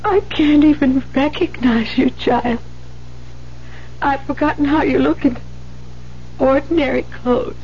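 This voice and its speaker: An actress playing a gentle, anxious older nun in a 1970s radio drama, faltering and breathy as she pleads. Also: high-pitched, measured pace, pauses often. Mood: sorrowful. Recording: muffled, hissy 1970s radio recording with hum.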